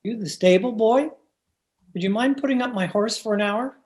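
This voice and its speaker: A man acting a snobbish, refined young gentleman suitor in an old-time radio drama, haughty and genteel. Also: high voice, moderate pace, close to an everyday voice. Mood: disdainful.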